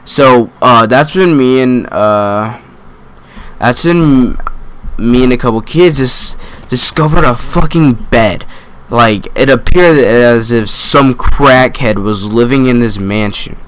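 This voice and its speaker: A young man with a medium-pitched voice tells a story as if chatting to friends. His language is slangy and full of swearing. He acts out bits of dialogue and makes self-deprecating asides along the way. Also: slow pace, slight background noise. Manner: animated retelling with quoted asides and self-deprecating jokes. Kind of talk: casual story time chat, slangy and profane.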